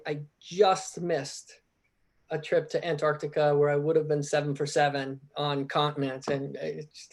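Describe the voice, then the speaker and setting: A man in his forties, a diplomat on a panel, talking through his career with frequent false starts. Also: a little high. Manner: hesitant, many restarts. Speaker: diplomat in residence. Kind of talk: panel introduction.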